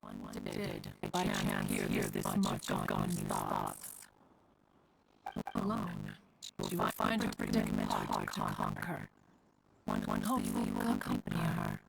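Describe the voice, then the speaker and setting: A woman's voice: very deep voice, huge pitch swings, a little slow, vocal fry, long pauses, heavily acted. A woman playing an ancient, dignified adeptus mountain guardian in a video game, speaking in formal, archaic phrasing with fond gravity. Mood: solemn, wistful. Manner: archaic, formal, stately phrasing, calling self one. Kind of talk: scripted video game dialogue. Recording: distorted, stuttering game audio with music.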